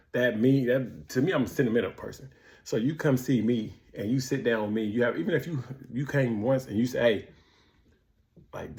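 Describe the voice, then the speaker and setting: A man's voice: on the low side, creaky, a little mellow. An American man speaking in a casual, candid monologue, slangy, repeating himself as he searches for words. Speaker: vlogger sharing personal experience of prison.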